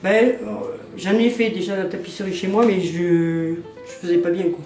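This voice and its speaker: A woman's voice: on the low side, normal speed, warm tone. Hesitant woman in an echoey room. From southern France. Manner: hesitant, many fillers. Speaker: resident renovating her flat with volunteers. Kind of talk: casual chat on a work site. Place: flat under renovation, indoors. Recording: echoey room from far off, music over.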